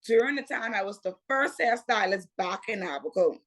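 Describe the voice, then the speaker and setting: A Bahamian woman in her twenties, a guest telling her story in a casual, unhurried interview. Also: medium pitch, expressive, a bit bright. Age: 20s. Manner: unhurried, repeats "what had happened was".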